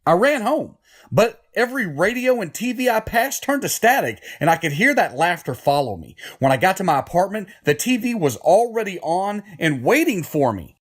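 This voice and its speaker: A man's voice: high-pitched, big pitch swings, normal speed, a bit bright, husky, exaggerated voice. An actor playing a tormented, desperate man forced to kill, pleading and anguished in a horror audio drama. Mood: shaken.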